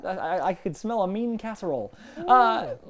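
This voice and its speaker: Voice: medium pitch, lively intonation, normal speed. A podcast co-host chatting casually through a TV episode recap, opinionated and given to mock-exasperated griping.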